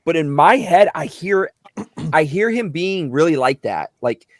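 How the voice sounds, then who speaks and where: A man's voice: fairly high pitch, lively intonation, measured pace, dry recording, clean studio sound. A middle-aged man with a slightly whiny voice, an actor chatting casually and rambling with many restarts. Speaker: actor and guest. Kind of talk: casual video chat. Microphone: close mic.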